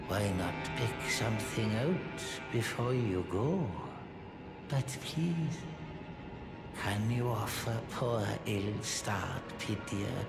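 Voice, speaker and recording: Cringing game servant, wheedling and hesitant: gravelly low voice, drawn-out delivery, echoey space, loud music. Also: male voice. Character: obsequious, skittish, wheedling lowly male servant. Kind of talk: fantasy game character dialogue.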